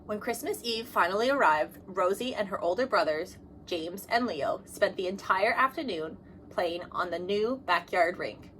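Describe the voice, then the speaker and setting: A woman narrates a children's picture book aloud. Her voice sits at an average pitch and she reads expressively. There is noticeable noise behind her. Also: moderate pace, rough recording. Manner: expressive, acts out dialogue. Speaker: storybook reader.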